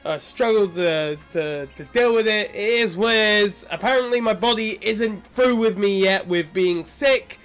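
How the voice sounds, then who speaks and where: A man's voice: high-pitched, measured pace, few pauses, fairly bright tone, smooth, soft background music. A young man live-streaming a game from home, chatting casually and rambling while congested from a sinus infection. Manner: chatty, rambling, friendly with chat. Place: home room.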